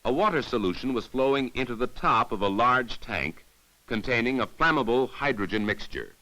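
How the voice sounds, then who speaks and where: A man giving formal, measured, didactic narration in the scripted style of a 1950s educational safety film. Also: mid-range voice, animated, bright, forceful tone, low-quality recording.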